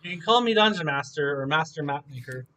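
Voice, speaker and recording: A young man chatting casually and joking while he builds a map on stream, thinking out loud as he works. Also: a little high, lively intonation, brisk, rough recording. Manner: joking, laid-back, thinking aloud. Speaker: mapmaker streaming his work. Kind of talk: casual chat while working.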